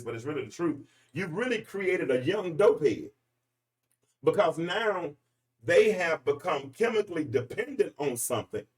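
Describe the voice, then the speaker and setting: A man in his forties talks off the cuff, his voice sitting a little high for a man. He preaches emphatically, restarting sentences as his thoughts pile up. The mic is a bit far from him. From Arkansas, US. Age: 40s. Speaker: podcast host. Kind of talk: freestyle commentary monologue.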